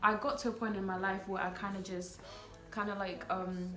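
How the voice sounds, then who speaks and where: Young woman talking in halting restarts. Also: mid-range voice, level tone, a bit bright, faint music behind. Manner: halting, many restarts and "sort of", "like". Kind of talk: casual chat. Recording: echoey room.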